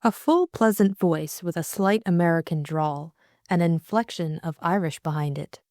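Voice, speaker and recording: A woman narrates a classic thriller audiobook in a scripted, dramatic storytelling style. Her voice is a little low, and it is recorded very dry. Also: normal speed, darkish tone, dry recording.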